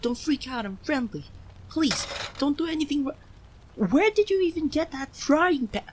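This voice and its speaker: A man's voice: very high voice, animated, normal speed, slight background noise. A man playing a proud, easily indignant young forest deity cursed into cat form, in a theatrical ASMR roleplay addressed to the listener. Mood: panicked, placating. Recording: rain sounds over the voice. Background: rain ambience.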